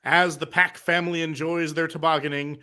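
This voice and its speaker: A man narrates a cartoon script in a live reading. His voice is fairly high for a man, with a bright, forceful tone. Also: little pitch movement, a little fast, smooth. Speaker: narrator at a table read.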